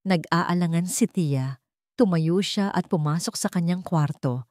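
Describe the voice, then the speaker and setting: A woman's voice: lowish voice, unhurried, a little mellow, no echo, clean studio sound. A woman reading a scripted dramatic first-person story as a narrator. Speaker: storyteller of Tagalog romantic drama stories.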